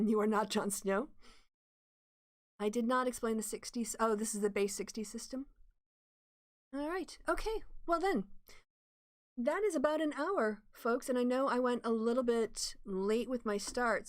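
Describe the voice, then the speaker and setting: A woman's voice: mid-range voice, dry recording, clean studio sound. A woman hosting a casual livestream, talking through ideas with restarts and answering viewer comments. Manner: thinks aloud with restarts, explanatory and opinionated. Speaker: livestream host.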